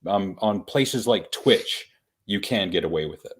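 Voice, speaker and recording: A man co-hosting a casual live-streamed podcast, talking in a measured, explanatory way with frequent hesitations. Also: low-pitched, lively intonation, husky. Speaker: podcast co-host.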